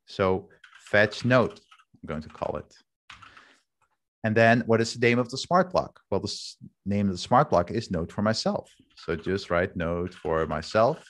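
A man's voice: on the low side, animated, a little slow. Dutch man demoing on a video call. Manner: candid, thinking aloud with restarts. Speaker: workshop host. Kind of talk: casual screen-share demo. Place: home room, indoors. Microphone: headset with video call camera.

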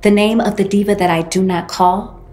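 A woman playing a self-important reality modelling show host, grand and dramatic, with weighty pauses. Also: mid-range voice, steady tone, darkish tone, soft background music, close to an everyday voice. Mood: grand, solemn. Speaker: host and head judge. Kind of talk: parody of a reality competition show.

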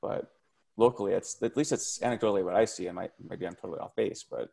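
A man's voice: on the low side, quick pace, creaky, warm tone. A man in casual video call discussion, rambling with many fillers. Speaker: meetup participant.

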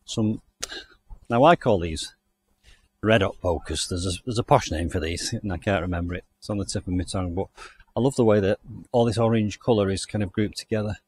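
A man's voice: on the low side, fairly quick, darkish tone, slight background noise. A man from the UK giving a chatty, enthusiastic walking tour of a country garden outdoors, recorded on the camera he carries. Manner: chatty, enthusiastic, thinking aloud. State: hot and overdressed while walking. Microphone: handheld camera mic, walking.

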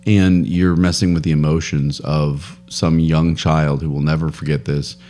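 Podcast co-host, thirties, deep male voice, rambling storyteller, close studio mic. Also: measured pace. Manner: rambling storyteller, laughs often, animated. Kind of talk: casual chat. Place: home studio room, indoors. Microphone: boom studio mic with headphones.

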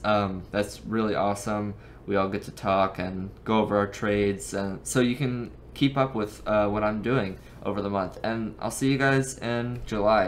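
A young man in his late teens speaks in a fairly low voice. He talks in an earnest, informal way, like a casual video diary recorded at home. There is slight background noise in the recording. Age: seventeen, late teens. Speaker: amateur trader, video creator. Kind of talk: casual recap vlog talk. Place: small bedroom, indoors.

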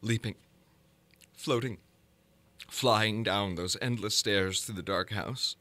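A man giving a theatrical, deliberate dramatic reading of gothic horror fiction, moving between hushed and intense delivery. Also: on the low side, no echo. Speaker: narrator reading a horror story.

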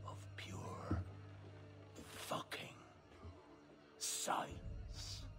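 A middle-aged pirate captain for a video game, menacing and worn. His delivery is slow and theatrical, with pitch that swings widely. Music plays behind him. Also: heavily acted. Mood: cold menace. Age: 40s. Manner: theatrical, deliberate, swinging from roars to hushed growls. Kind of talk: video game dramatic acting.

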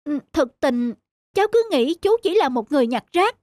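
An actress playing a proud young wife in a cartoon, speaking in an expressive, emphatic way. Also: female voice, high voice, normal speed, no room sound, studio quality. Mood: sheepish, apologetic. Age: young adult. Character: haughty young wife, quick to scold, later sheepish. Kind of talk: cartoon voice acting. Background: faint music.

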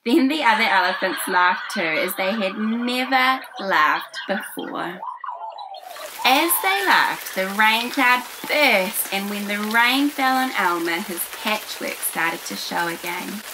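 A woman's voice: medium pitch, lively intonation, slow pace, noisy background. A woman in her twenties reads a children's picture book aloud expressively, enunciating carefully for young listeners. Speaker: children's story reader. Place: small furnished room indoors.

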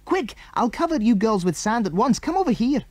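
A man's voice: extremely high pitch, very animated, a little fast, darkish tone, dry recording, pitched above the performer's own voice, heavily acted. Man voicing a resolute British boy adventurer. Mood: urgent. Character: resolute, protective boy leader in an adventure storybook.

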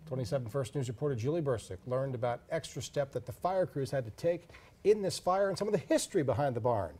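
Mellow American male news anchor. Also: medium pitch, animated, quick pace. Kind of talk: scripted news narration.

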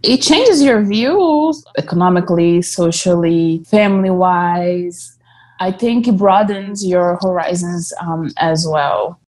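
Slow-spoken Brazilian-born woman. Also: fairly low pitch, animated, darkish tone, reverberant. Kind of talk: documentary interview.

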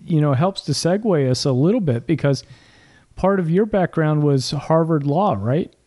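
A man hosts a podcast interview with a mellow, slightly higher voice. He asks friendly questions that ramble a little as he finds his words. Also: expressive, moderate pace. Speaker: podcast host. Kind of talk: casual interview conversation.

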